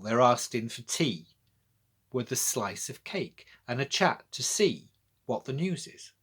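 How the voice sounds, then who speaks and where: Children's poet-presenter, male. Husky tone, highly varied intonation, talks straight to young listeners. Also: a bit bright. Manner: addresses young listeners directly with questions. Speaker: poet reading own children's poems. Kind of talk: children's poetry reading with friendly explanatory chat.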